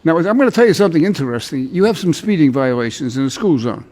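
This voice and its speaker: A man's voice: a little high, expressive, fast, a little mellow. An older man speaking as a judge in a courtroom, questioning people in an informal, teasing way and repeating their answers back. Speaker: judge presiding in a municipal court show.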